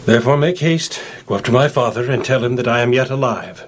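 A man's voice: mid-range voice, a little fast, lightly acted. An actor playing Joseph, a grown man who rules in Egypt and forgives his brothers, speaking in a solemn, declamatory radio drama manner, with music behind.

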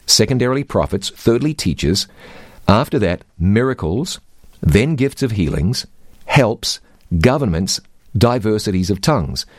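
A man's voice: fairly low pitch, dry recording, studio quality. A man teaching the Bible, reading scripture aloud and quizzing his co-host.

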